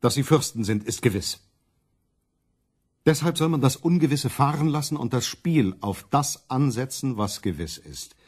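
An actor in a German radio play voicing a stubborn, self-righteous religious reformer who declaims dogmatically and sides with the princes. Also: male voice, medium pitch, expressive, moderate pace, a little mellow, breathy edge. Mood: smugly assured.

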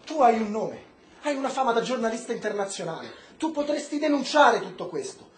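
An actor playing an impassioned Cuban who pleads against injustice in a rhetorical, declamatory stage style, with weighty pauses. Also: mid-range voice, very animated, lightly acted. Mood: impassioned, urging. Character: impassioned Cuban man urging journalist Ellen to fight injustice. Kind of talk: stage-style radio drama acting. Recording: echoey room, noisy background ambience.

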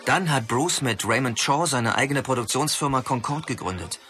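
An actor playing an eager, knowledgeable martial arts film fan, giving eloquent commentary in a radio play. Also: male voice, medium pitch, animated, moderate pace, loud music behind the voice, natural voice. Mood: admiring. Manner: enthusiastic, eloquent.

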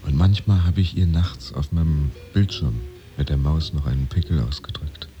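Tense proud office pretender, very low pitch, deliberate first-person narration, radio play, music behind. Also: male voice, mellow. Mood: wistful. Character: anxious, proud man keeping up a pretence of office work. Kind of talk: interior monologue in a radio play.

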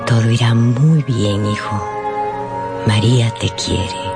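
A woman's voice: a bass voice, takes it slowly, creaky, over loud music, exaggerated voice. An actress playing an anxious, loving middle-aged mother who pleads emphatically with her son in a radio drama. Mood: tender, advising.

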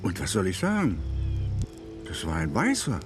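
An actor playing a rough, boastful frontier Indian hunter telling war stories in a German radio drama. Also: male voice, deep voice, wildly swooping pitch, normal speed, over music. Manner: boastful, colloquial storytelling.

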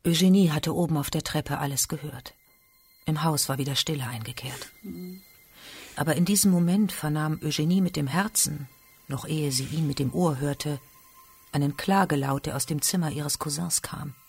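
A man's voice: fairly high pitch, moderate pace, gravelly, darkish tone, husky. A man reading scripted literary narration in a radio drama.

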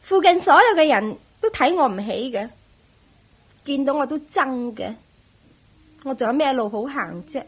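An actress playing a brazen, world-weary courtesan in a Cantonese radio drama, teasing and mocking with a Hong Kong accent. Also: female voice, high-pitched, lively intonation, moderate pace, long pauses. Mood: bitter, weary.

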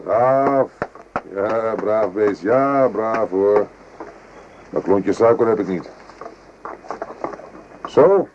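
Wry, suspicious French army corporal. Radio play, lowish voice, brisk and commanding, faint noise. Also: male voice, lo-fi sound. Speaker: radio drama actor. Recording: noisy old radio drama recording.

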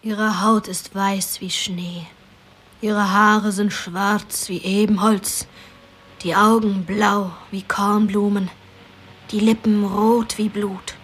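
A woman's voice: medium pitch, flat delivery, slow pace, husky. An actress playing a dreamy, romantic young girl in a German radio drama, often slipping into whispery play-acting.

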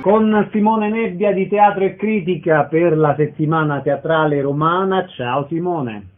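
A man's voice: on the high side, measured pace, soft background music. A man presenting live on the radio as the show's host. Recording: tinny phone-like radio sound, some noise.

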